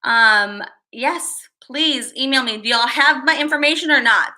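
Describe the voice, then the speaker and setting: Chatty high-voiced woman on a livestream. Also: a little slow, sharp tone. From Chicago, USA. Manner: rambling, chatty, reads viewer names aloud. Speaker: livestream host. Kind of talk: casual livestream chat.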